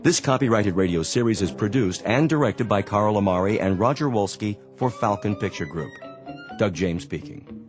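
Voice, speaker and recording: Formal low-voiced male radio announcer. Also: animated, normal speed, music in the background. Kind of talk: scripted radio credits.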